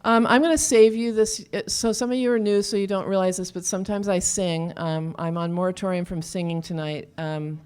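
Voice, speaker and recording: A woman's voice: lowish voice, fairly even intonation, fairly quick, hardly any pauses, darkish tone, smooth. A woman hosting a live event from the stage, casually coaxing the crowd in a playful way. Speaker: event host. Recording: live event with audience noise. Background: faint crowd.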